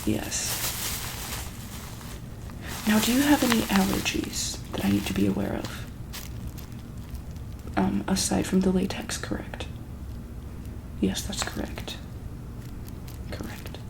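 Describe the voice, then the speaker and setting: A soft-spoken woman in an ASMR medical roleplay, playing an examiner who asks gentle questions, repeats them, and sometimes drops into a breathy whisper. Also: mid-range voice, huge pitch swings, slow pace, pauses often, rough recording.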